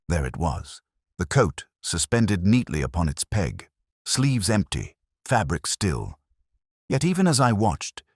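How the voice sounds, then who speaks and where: A man reading scripted mystery fiction as an audiobook narrator, in a measured, literary, atmospheric way. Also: low voice, slightly rough, dry recording, clean studio sound.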